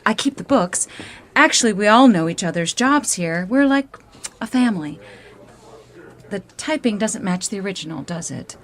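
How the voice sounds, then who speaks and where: A woman's voice: mid-range voice, lively intonation, moderate pace, natural voice. A woman playing a polite, efficient bookkeeper and loyal boss's wife in a radio drama, courteous and precise. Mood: courteous, composed.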